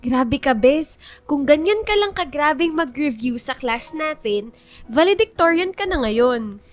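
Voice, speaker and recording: A high-voiced, teasing best friend. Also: female voice, a little slow, faint music behind. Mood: amused, teasing. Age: young adult. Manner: teasing, animated, colloquial. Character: teasing, exasperated but supportive student best friend, female. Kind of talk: radio romance drama dialogue.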